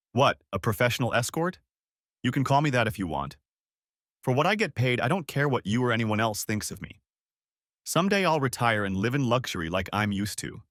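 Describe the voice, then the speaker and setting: A man's voice: medium pitch, fairly bright tone, no echo, studio quality. A man voicing a frank, self-assured young woman who works as a personal assistant.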